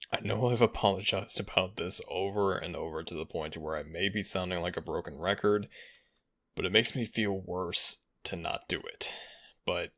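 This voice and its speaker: A man speaking earnestly in measured, formal wording as he addresses his followers as an audio roleplay host. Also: deep voice, creaky, fairly bright tone, no room sound, studio quality. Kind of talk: addressing followers, channel update and shoutouts.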